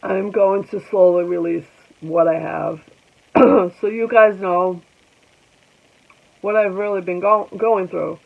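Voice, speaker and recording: A woman in her forties speaking slowly in a personal vlog, congested, halting, and pausing often. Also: mid-range voice, fairly even intonation, gravelly, phone-quality sound. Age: 40s. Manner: halting, reflective, with many pauses and ums. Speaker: vlogger. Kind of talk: personal vlog talking to viewers.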